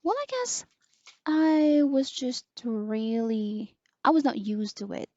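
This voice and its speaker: A young woman with a soft, low voice, slowly reviewing a headset as an online teacher, pausing often with fillers. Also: animated, dry recording, highs cut off. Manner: slow, hesitant, many pauses and fillers. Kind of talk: casual product review.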